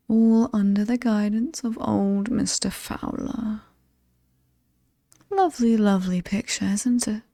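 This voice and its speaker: Casting: actress as alluring, menacing British demoness for horror ASMR. Breathy half-whisper, dark and creaky, teasingly ominous. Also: female voice, mid-range voice, no echo, studio quality. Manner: seductive, teasing, theatrically ominous, lingering on words. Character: alluring, sinister ageless demon woman showing off haunted mansion.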